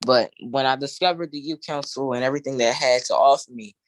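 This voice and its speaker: A young man, president of a youth council, speaking casually on a video call in an easygoing, halting way. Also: medium pitch, a little hiss or hum, poor audio. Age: young adult. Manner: easygoing, halting, with many fillers and restarts.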